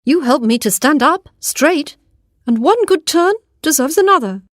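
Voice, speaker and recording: A woman's voice: high-pitched, expressive, unhurried, dry recording, clean studio sound, pitched above the performer's own voice. A woman voicing a kindly, polite talking apple tree in a storybook. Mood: reassuring. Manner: polite, reassuring. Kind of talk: storybook character voice.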